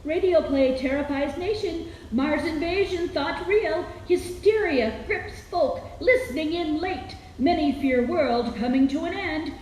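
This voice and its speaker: Radio drama role: excited female news crier, very high voice, urgent, distant and echoey. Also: measured pace, few pauses. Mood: urgent, alarmed. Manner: urgent, declamatory headline calling. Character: excited street news crier shouting alarming headlines. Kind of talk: radio docudrama acting. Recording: echoey, distant, faint noise.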